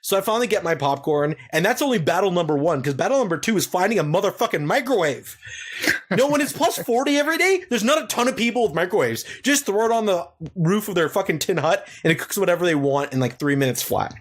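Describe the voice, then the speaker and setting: A man's voice: high-pitched, animated, fast. Profane rambling young man telling stories. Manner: crude, profane, rambling anecdotes. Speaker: podcast co-host. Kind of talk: casual comedic storytelling.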